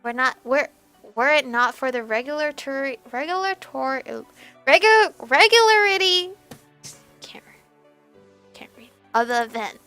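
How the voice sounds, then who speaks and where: A woman reading a visual novel aloud as expressive narration, with animated reactions and some stumbling over words. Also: high voice, measured pace. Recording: background music behind voice. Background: game music.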